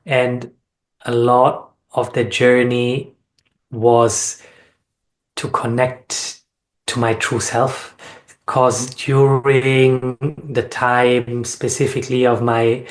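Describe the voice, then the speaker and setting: Even-toned, earnest man in reflective conversation. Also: mid-range voice, drawn-out words. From Austria. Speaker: sound healing facilitator and interview guest. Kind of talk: reflective interview talk about personal experience.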